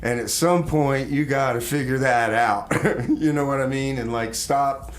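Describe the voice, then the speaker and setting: A man hosting a casual live radio interview, speaking haltingly and repeating words as he goes. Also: mid-range voice, fairly even intonation. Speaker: radio show host. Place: radio studio.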